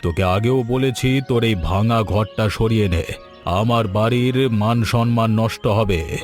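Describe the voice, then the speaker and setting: A man's voice: lowish voice, unhurried, soft background music, lightly acted. A man voicing a haughty older rich landlord in a cartoon, pompous and scornful. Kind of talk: scripted cartoon dialogue.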